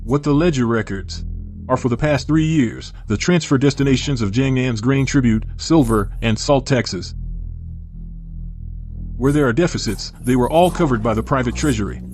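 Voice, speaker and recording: A man playing a composed, shrewd imperial prince who argues formally and deliberately in a costume court drama. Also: medium pitch, darkish tone, faint music behind, natural voice. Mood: composed, accusing.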